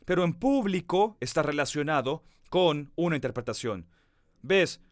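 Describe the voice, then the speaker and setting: A man preaching a sermon as pastor. He speaks earnestly, talks straight to the listener and slows down to stress key words. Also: on the high side, animated, dry recording, clean studio sound. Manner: addresses the listener directly.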